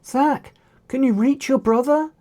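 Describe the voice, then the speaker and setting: Audiobook heroine, acted by the narrator: bold girl fighter, raised pitch, urgent projected calls. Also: a little slow, warm tone. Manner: urgent, calling out.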